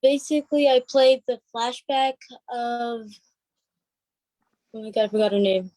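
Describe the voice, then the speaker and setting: A woman's voice: on the high side, a little slow, dark tone, no room sound, poor audio. A young woman in her teens chatting informally as an interview guest, a bit hesitant. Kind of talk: casual chat.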